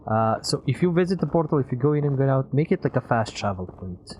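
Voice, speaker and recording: A man's voice: medium pitch, sing-song, fast talker, darkish tone, a little hiss or hum. A man giving casual live video game commentary as a streamer, thinking aloud, muttering to himself and swearing freely. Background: music.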